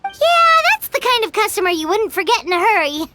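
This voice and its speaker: A woman voicing a small, cheeky, food-loving fairy companion with a squeaky childlike voice in a video game. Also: fairly quick, full character voice. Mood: curious. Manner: animated, refers to self in third person. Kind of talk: video game character acting. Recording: music playing behind.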